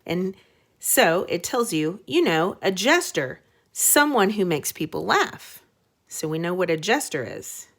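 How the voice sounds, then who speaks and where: A woman is giving a reading lesson for young children. Her pace is unhurried and her pitch moves up and down a great deal. She explains in plain conversational language, dropping in short questions to check understanding. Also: big pitch swings, fairly bright tone. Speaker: elementary school teacher.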